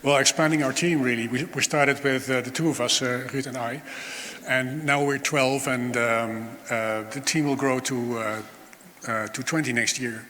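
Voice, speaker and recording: A man's voice: mid-range voice, steady tone, moderate pace, a bit bright. A man, a startup co-founder, answering interview questions on stage with frequent hesitations. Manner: hesitant, many uh fillers. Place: large event hall on stage. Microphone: throwable catch box mic. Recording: hall reverb with faint room noise.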